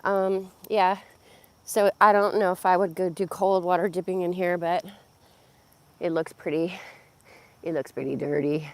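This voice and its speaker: Hoarse woman rambling on a nature walk. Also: lowish voice, fairly even intonation, measured pace, long pauses. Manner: rambling, many fillers and laughs. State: hoarse, losing her voice. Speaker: vlogger and yoga teacher. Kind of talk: casual walking vlog. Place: outdoors on a nature walk by a pond. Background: crickets and insects outdoors.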